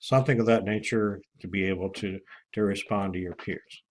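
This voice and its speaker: A man with a fairly low voice speaks as a course instructor guiding students through an online walkthrough. His delivery is informal and halting, broken by frequent pauses as he works through the material step by step. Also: steady tone. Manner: halting, with many pauses and throat clearing.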